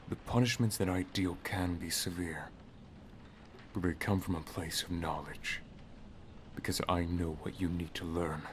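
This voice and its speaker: A man playing a solemn, protective wizard master and lover in an ASMR roleplay, speaking softly and gravely, often half-whispering. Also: deep voice, a little hiss or hum. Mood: stern. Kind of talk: ASMR roleplay, dramatic monologue.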